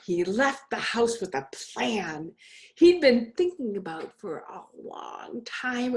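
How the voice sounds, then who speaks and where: A woman reading a children's story aloud in a deliberate, expressive way, as a guest minister. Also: high voice. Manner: slow, deliberate, expressive phrasing. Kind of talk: storybook read-aloud for all ages.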